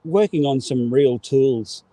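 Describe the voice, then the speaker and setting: Aussie guy guest, easygoing and sincere, mellow voice, dry sound. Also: medium pitch, slow pace, dry recording. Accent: Australian. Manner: easygoing, sincere, laughs along. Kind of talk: casual chat.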